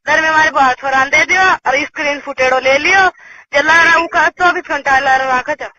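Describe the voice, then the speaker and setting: A woman's voice: high-pitched, steady tone, normal speed, sharp tone. A woman with a Rajasthani accent, chatting casually and privately as a caller on the phone. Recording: dull lo-fi phone call, background noise.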